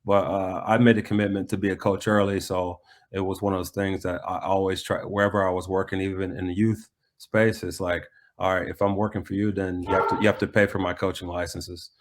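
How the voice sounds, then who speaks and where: A man's voice: low-pitched, little pitch movement, fairly quick, hardly any pauses. A middle-aged man, a coach and former player, answering interview questions casually and reflectively, often repeating phrases as he goes.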